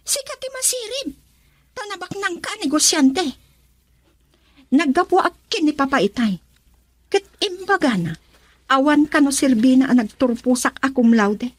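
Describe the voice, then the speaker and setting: An actress with an Ilocano Filipino accent playing a suspicious, upset wife in a radio drama. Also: female voice, sits high, sing-song, moderate pace, pauses often, no echo. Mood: accusing, upset. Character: suspicious, upset wife confronting her husband.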